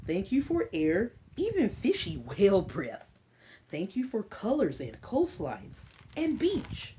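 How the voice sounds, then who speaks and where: A woman hosting a children's story time, reading a picture book aloud in an expressive, drawn-out way with chatty, encouraging asides. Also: mid-range voice, slight background noise.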